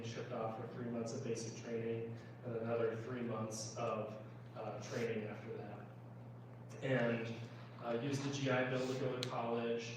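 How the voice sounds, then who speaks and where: An American man in his thirties gives an informal personal political speech. He talks in a conversational, plain-spoken way. The sound is very echoey and distant from the mic, with clear background noise. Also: medium pitch. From United States. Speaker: congressional candidate speaking at a town hall. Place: indoor town hall meeting room.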